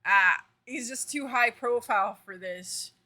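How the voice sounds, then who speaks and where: A woman's voice: a little high, a little slow, bright, forceful tone. A woman streamer giving blunt, profane live reaction commentary.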